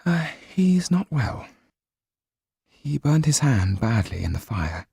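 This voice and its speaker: A man voicing a shy, anxious, wistful young gentlewoman in an audiobook, with a soft, breathy, hesitant and refined delivery. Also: mid-range voice, very animated, slow, dry recording, studio quality, pitched above the performer's own voice, exaggerated voice. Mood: sad, anxious. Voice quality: soft, breathy, at times whispered.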